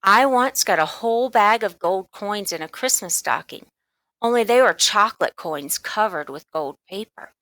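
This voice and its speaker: A woman's voice: mid-range voice, animated, normal speed, fairly bright tone, dry recording. A woman voicing a young schoolboy in a storybook, reasonable, self-assured and matter-of-fact. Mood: doubtful.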